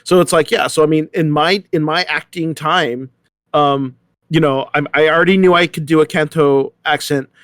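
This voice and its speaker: A middle-aged man talking casually in a panel discussion, telling anecdotes in a halting, rambling way. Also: on the high side, steady tone, a bit bright, dry recording, clean studio sound. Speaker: actor, panelist.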